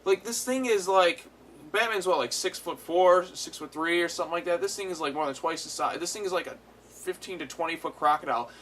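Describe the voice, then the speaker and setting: A man's voice: high-pitched, brisk, fairly bright tone. A man giving a casual, unscripted episode review as host, retelling it animatedly with frequent swearing. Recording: clear background noise.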